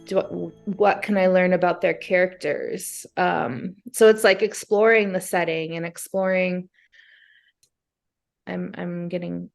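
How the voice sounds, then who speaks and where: A woman in a casual brainstorming chat, talking in an animated way and acting out her thoughts as she goes. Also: lowish voice, measured pace, vocal fry, faint music behind. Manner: animated, acts out imagined thoughts and exclamations. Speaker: guest being coached through writer's block.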